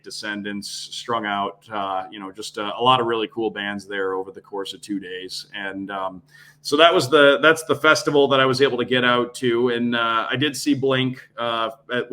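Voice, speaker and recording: A man, a radio play-by-play announcer, chatting casually as a podcast guest in a relaxed, rambling way with many restarts. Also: on the low side.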